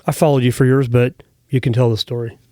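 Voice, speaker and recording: A man's voice: medium pitch, flat delivery, quick pace, dark tone, dry recording. A man hosting a podcast interview in a studio, chatty and friendly, with frequent fillers. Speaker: podcast host. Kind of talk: casual interview chat. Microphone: studio mic.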